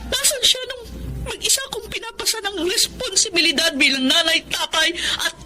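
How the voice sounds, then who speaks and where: An actress playing a resentful, hurt eldest sister in a Filipino radio drama. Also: female voice, very high-pitched, moderate pace, heavily acted. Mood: angry, anguished. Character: bitter, resentful eldest sister, hardworking, hurt by absent father. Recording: loud music bed under the voice.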